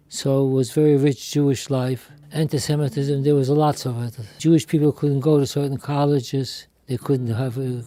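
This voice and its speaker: A man in his eighties from Poland describes his early life plainly and without fuss. His voice is mellow and dark. Quiet music plays in the background. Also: mid-range voice. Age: 80s. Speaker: Holocaust survivor giving testimony. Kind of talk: recorded oral history.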